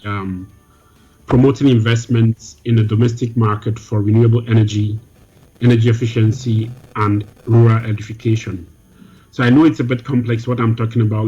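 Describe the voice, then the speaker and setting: A man raised in Nigeria and Germany, answering interview questions in a measured, explanatory way with frequent ums. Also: medium pitch, warm tone, slightly rough, muffled recording. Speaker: guest, energy program head.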